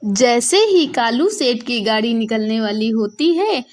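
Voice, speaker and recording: Lady reading a kids story, high voice, takes it real slow. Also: expressive, soft background music. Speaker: narrator. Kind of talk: scripted children's story narration.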